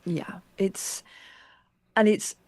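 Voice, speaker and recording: An interviewer talking in a casual, conversational way, with an animated, emphatic and rambling manner. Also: very slow, no echo.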